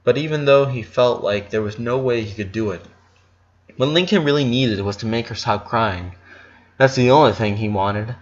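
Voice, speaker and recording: A man's voice: medium pitch, moderate pace. A man reading a story aloud as narrator, in a dramatic scripted style. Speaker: audiobook narrator. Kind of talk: dramatic fan fiction narration. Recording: slightly dull, muffled audio.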